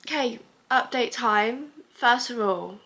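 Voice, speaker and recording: A woman's voice: on the high side, takes it slowly, bright, forceful tone. A young woman chatting casually in a reading vlog, stuffy with a cold and rambling as she thinks aloud. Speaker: book vlogger.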